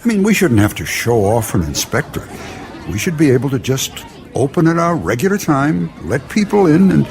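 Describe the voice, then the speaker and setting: An actor playing a kindly, wise shop owner who talks in a gentle, reasoning way, in a family radio drama. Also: male voice, a little high, big pitch swings, normal speed, creaky, a little hiss or hum.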